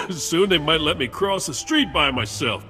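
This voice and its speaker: An actor playing a sickly, chatty, wry patient who helps a Jedi in a video game. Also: male voice, fairly high pitch, a little slow, faint music behind. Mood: wry, cheerful. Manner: wry, animated, joking. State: frequent coughing fits. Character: sickly, wry, chatty male patient, Jedi's eager helper.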